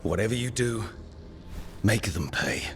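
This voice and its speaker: A man's voice: on the low side, expressive, unhurried, a bit bright, slight background noise. A man playing a resolute, wry squad leader in a video game, speaking in a direct, dry, determined way. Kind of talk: naturalistic video game acting.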